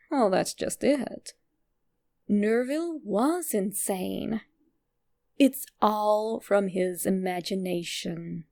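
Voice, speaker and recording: A woman reading a scripted narration, with a creaky edge to her voice. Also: medium pitch, warm tone. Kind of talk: scripted narration of a fan theory.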